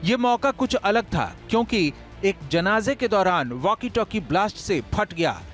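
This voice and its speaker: High-pitched man narrating dramatic news reports. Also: expressive, a little slow, soft background music. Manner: emphatic, dramatic delivery. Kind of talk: scripted dramatic news voice-over.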